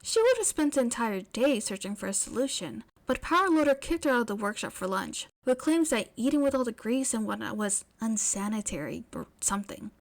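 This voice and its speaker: A woman's voice: fairly high pitch, sing-song, fairly quick, few pauses. A woman reading scripted fiction aloud as a story narrator.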